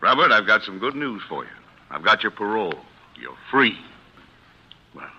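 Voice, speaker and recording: An actor playing an earnest, fatherly defense lawyer in 1940s radio style, speaking in formal, measured phrases. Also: on the low side, big pitch swings. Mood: gentle, reassuring. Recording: muffled old radio recording.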